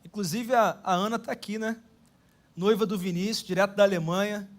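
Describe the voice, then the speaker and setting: Male Brazilian pastor, higher-pitched voice, earnest and measured sermon delivery, Brazilian Portuguese accent, church setting. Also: fairly even intonation. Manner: earnest, measured preaching with many rhetorical tags.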